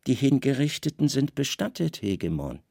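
A man voicing a deferential, composed secret-service commander in a radio drama, reporting in a measured, factual way. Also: medium pitch, very animated, vocal fry, dark tone.

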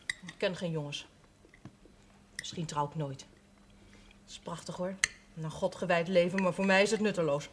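An actress playing a practical young daughter in a television drama, speaking firmly, earnestly and directly. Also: female voice, on the low side, fairly even intonation, normal speed, long pauses, close to an everyday voice. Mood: subdued, evasive. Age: young adult. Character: determined, practical young daughter standing up to father. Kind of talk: television period drama acting. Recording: slightly noisy old television soundtrack.

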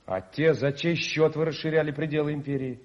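An actor playing an earnest young socialist gentleman in a radio play, polite but defiant. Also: a little high, steady tone, fairly quick, lightly acted. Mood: politely defiant. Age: young adult. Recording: muffled 1981 radio recording.

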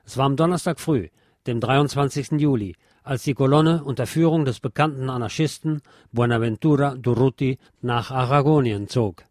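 A man narrates a scripted historical programme. His voice sits at a middle pitch, and the recording is dry, with hardly any room sound. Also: moderate pace, darkish tone, no echo. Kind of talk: scripted documentary narration, reading a historical text.

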